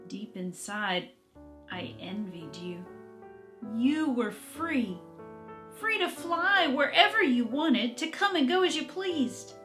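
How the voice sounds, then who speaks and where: A woman voicing a longing captive housecat who tells its own story in a children's book, dreamy, deliberate and dramatic. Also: high-pitched, lively intonation, fairly bright tone, soft background music, full character voice. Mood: envious, wistful.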